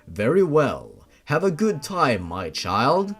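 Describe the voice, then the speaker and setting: A man voicing a calm, fatherly telepathic monster who speaks in a formal, gentle, courteous way for an audio story. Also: fairly high pitch, slow, breathy edge, exaggerated voice. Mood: fond.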